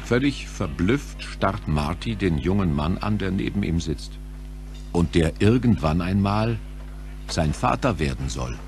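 A man's voice: deep voice, animated, measured pace, gravelly, a little mellow, slight background noise, exaggerated voice. A man narrating a German audio drama in a dramatic, scripted storytelling style.

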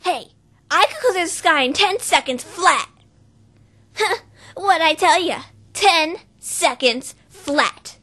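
Brash show-off flying pony girl, a woman doing the voice, lively and bright. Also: very high-pitched, slow, heavily acted. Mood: cocky. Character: brash cocky tomboy pegasus pony girl. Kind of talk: fan voice reel impression.

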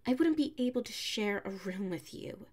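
A woman voicing a prickly, haughty young tsundere classmate with a hidden soft side, in an ASMR audio roleplay. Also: medium pitch, animated, close to an everyday voice. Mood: indignant, huffy. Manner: haughty, huffy, sighing, drawn-out phrasing.

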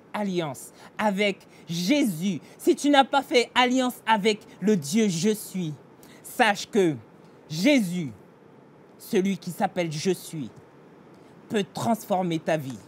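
A man's voice: sits high, expressive, takes it slowly, a little hiss or hum. A middle-aged man pastor giving an earnest devotional meditation and prayer, speaking straight to the listener in a small indoor room. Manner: earnest, direct address to the listener, emphatic gestures. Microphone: facing a fixed camera, mid-shot.

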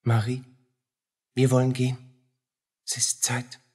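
An actor playing a haunted, poor soldier of thirty in a radio play, brooding and halting in his speech. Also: mid-range voice, completely flat delivery, darkish tone, husky. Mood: grim, urgent. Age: 30s. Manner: slow, halting, brooding delivery.

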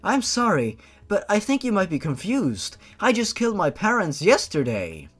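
A man's voice: high-pitched, huge pitch swings, moderate pace, a bit bright, breathy edge, pitched above the performer's own voice, heavily acted. A man voicing a defiant young woman, a blunt and guarded heroine in a story reading. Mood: puzzled.

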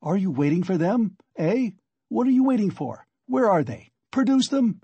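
A feverish, cornered young man acted by a male audiobook reader spits out defiant demands. His voice is pitched high and slightly rough, furious and agitated, in short bursts, recorded very dry. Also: very animated, normal speed, warm tone, dry recording, muffled recording, exaggerated voice. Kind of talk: audiobook character dialogue.

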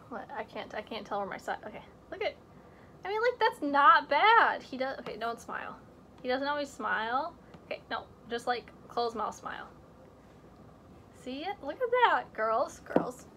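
A high-pitched teenage girl chats and jokes through her home vlog, dropping to hushed whispers as she holds things up to the camera. She is recorded close on a built-in computer mic, with faint background noise. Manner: chatty, joking, self-mocking asides. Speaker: vlog host. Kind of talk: casual chat. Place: indoor home room with shelves.

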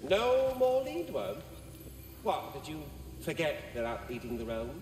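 A rude, bitter little squirrel spirit for a video game. The raised voice swings with sarcasm and sounds distant and echoing, with noise behind it. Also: male voice, on the high side, huge pitch swings, a little slow. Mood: mocking. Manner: sarcastic, insulting, curt. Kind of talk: video game character acting. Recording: echoey, distant, noisy background.

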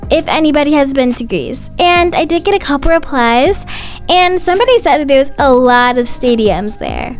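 High-voiced chatty woman over background music. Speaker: channel host and narrator. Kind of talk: roleplay storytelling over a life-simulation game.

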